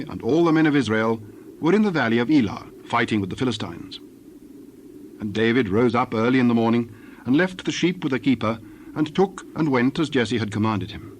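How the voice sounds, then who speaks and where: Mid-pitched man narrating scripture, noisy background.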